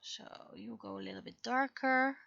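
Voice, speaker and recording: A woman chatting casually on a livestream as she works, thinking aloud in a halting way and talking half to herself. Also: a little high, vocal fry, a bit bright, no echo, dull audio. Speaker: livestreaming webcomic artist. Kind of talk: casual livestream chat while working.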